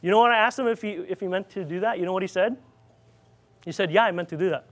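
A man with a Filipino accent and a notably high-pitched voice preaches in an informal, rambling way. He tells stories with frequent fillers and restarts. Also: quick pace, fairly bright tone. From Philippines. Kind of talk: informal sermon storytelling.

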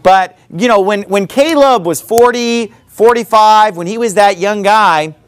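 High-voiced energetic preacher in his thirties. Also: measured pace, smooth. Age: 30s. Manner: energetic, conversational preaching with scripture reading. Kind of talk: sermon.

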